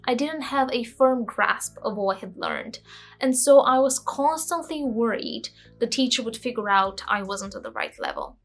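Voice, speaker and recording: A woman's voice: a little high, fairly bright tone. Candid, self-mocking woman vlogger, Bulgarian native. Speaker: language-learning vlogger. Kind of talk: scripted vlog narration.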